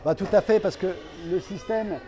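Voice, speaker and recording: A man speaking as a company representative in an interview, explaining products in a halting way and repeating words. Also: high voice.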